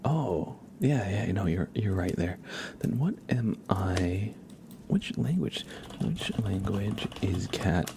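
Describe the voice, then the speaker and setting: A man speaks very softly and close to the microphone as he hosts an ASMR livestream. His voice is deep with a lot of creaky fry, often slipping into a breathy whisper. He rambles gently and casually to viewers, with a faint hiss behind him. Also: lively intonation, slow. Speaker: livestream host.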